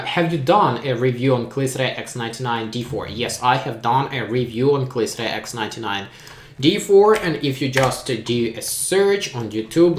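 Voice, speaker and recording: A man whose first language is Ukrainian answers viewer questions in a plain, matter-of-fact way and often pauses with "uh". His voice sits at an ordinary middle pitch, and it rings a little in an echoey room. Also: slight background noise. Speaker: tech channel host. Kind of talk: live Q&A reading viewer questions.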